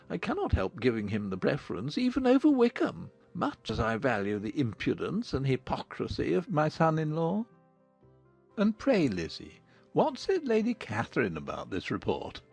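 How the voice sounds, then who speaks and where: A sardonic older father played by a man in an audiobook drama, swooping up and down with theatrical emphasis. His husky, gravelly voice teases and mocks with open amusement, over music. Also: fairly high pitch, voice pushed down, exaggerated voice. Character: witty, teasing older father, mocking and amused.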